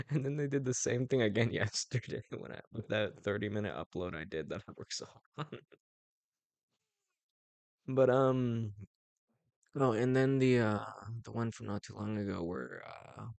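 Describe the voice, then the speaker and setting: A man's voice: fairly low pitch, normal speed, gravelly, no echo. A young man chatting casually on a livestream, rambling with lots of fillers and restarts and chuckling often. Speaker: streamer.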